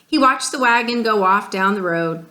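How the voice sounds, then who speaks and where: A woman's voice: medium pitch, animated, moderate pace, sharp tone. A woman teacher reading a storybook aloud, expressively and speaking directly to children. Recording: echoey room.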